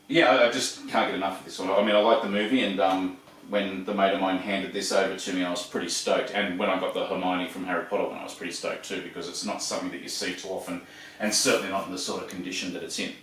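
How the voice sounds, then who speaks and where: Aussie guy rambling about his stuff, lowish voice, kinda far from the mic, big room echo. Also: fairly bright tone. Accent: Australian. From Australia. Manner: rambling, chatty, frequent ums and restarts. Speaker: video host. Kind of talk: casual show-and-tell. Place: room full of shelves and posters. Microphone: camera across the room. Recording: echoey room, distant mic.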